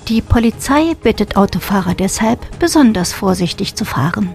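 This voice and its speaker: A woman's voice: medium pitch, lively intonation, moderate pace, darkish tone, soft background music. A woman presenting radio news in a studio and interviewing a new volunteer in a friendly way.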